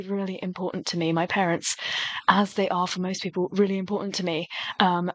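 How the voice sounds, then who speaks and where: A woman's voice: medium pitch, no echo. A young woman from England with a British accent, speaking earnestly in a personal, reflective commentary as a reaction host. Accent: British English.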